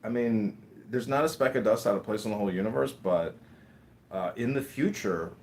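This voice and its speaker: A man's voice: lowish voice, fairly quick, a little mellow, slight background noise. A man talking casually in long rambling stretches, swearing and laughing as he makes his points. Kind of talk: casual conversation.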